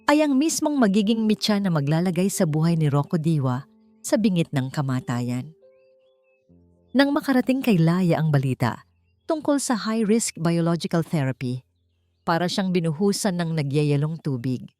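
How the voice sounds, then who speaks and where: A woman with a Filipino accent narrating a scripted dramatic story in an expressive, theatrical manner. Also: low-pitched. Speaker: audiobook narrator.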